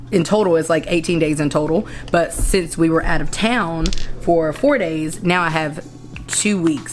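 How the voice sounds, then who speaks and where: A young woman with a hoarse, lowish voice, talking to camera at home as she puts on makeup. She rambles in a chatty way, stopping to restart her sentences. Soft music plays faintly underneath. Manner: rambling, self-correcting, chatty. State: hoarse after a concert. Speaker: vlogger. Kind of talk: casual chat while doing makeup. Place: indoors at home.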